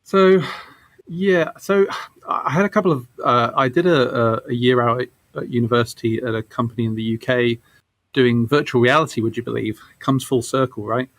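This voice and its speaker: A man in his forties answering interview questions casually, halting and thoughtful, as a guest game developer. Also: medium pitch, fairly even intonation, a bit bright, no room sound. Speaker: guest, game studio creative director.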